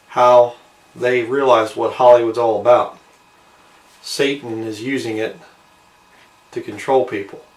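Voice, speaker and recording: A man's voice: medium pitch, recorded from a distance. A man hosting a homemade video, reading aloud from a printed page and adding musing, informal commentary. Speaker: video host reading and commenting on an old newspaper. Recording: roomy, set back from the mic.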